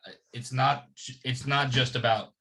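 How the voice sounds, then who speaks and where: A man's voice: medium pitch, steady tone, normal speed, bright, forceful tone. A man hosting an interview, chatting casually and teasing his guest with easy humor. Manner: joking, easygoing. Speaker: host.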